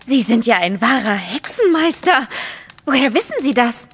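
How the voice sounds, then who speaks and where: An actress playing a polite, composed young gentlewoman with a formal, earnest manner, in a German audio drama. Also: a little high, big pitch swings, normal speed, breathy edge, in a large hall.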